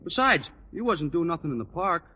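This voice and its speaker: Dopey high-voiced schoolboy. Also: male voice, sing-song, pushed and bright, slightly rough, dull audio, full character voice. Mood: artless, earnest. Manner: slow-witted, artless, ungrammatical. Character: dim, earnest teenage schoolboy, bad grammar. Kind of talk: 1940s radio sitcom acting. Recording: noisy old radio recording.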